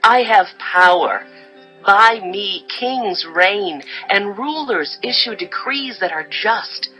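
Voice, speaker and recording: A woman's voice: medium pitch, lively intonation, slow, pushed and bright, faint music behind, poor audio. An actress playing Wisdom as a solemn, commanding female spirit, in a stately, declamatory dramatized scripture reading. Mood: authoritative, assured.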